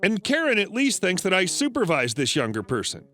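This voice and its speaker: A man narrating reddit stories in a scripted read, flat and even with sudden pitch jumps. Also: fairly quick.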